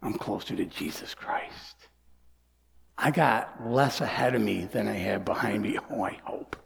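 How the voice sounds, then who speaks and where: A man in his sixties preaching a sermon as pastor, earnest, dropping to soft near-whispers and rising to emphatic lines. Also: fairly low pitch, unhurried, vocal fry, a little hiss or hum. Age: 60s. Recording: long hall reverb.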